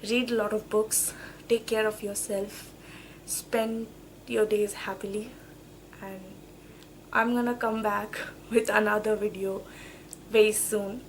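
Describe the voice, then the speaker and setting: A woman's voice: mid-range voice, slight background noise, poor audio. A young woman with a cold, chatting casually as a book vlogger, emphatic and repeating words. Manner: emphatic, repeats words and phrases, says okay often. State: has a cold. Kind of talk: casual vlog chat, book reviews.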